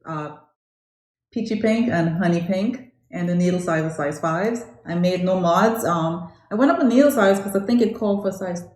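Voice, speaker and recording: Animated woman knitter, echoey room. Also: on the low side, moderate pace. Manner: animated, laughing, repeats herself. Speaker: podcast co-host. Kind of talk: casual chat about knitting. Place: home living room, indoors.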